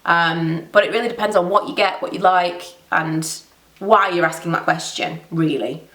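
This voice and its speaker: A woman's voice: on the low side, steady tone, moderate pace, fairly bright tone, reverberant, distant mic. A woman in her thirties with a stuffy cold, chatting casually and rambling through viewer questions in a home vlog. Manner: chatty, rambling, self-deprecating. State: blocked nose from a cold. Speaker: beauty vlogger.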